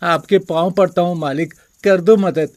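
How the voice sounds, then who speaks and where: A man's voice: sits high, fairly even intonation, moderate pace, darkish tone, lightly acted. An actor playing a poor, meek male servant who pleads humbly in a story. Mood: pleading.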